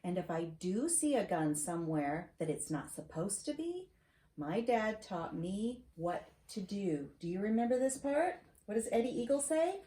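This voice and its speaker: Middle-aged American woman, roomy and mellow. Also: medium pitch, moderate pace. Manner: expressive, questioning, teacherly. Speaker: host reading to her granddaughter. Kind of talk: storybook read-aloud with casual asides to a child. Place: home library, seated at a desk. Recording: roomy echo, clear background noise.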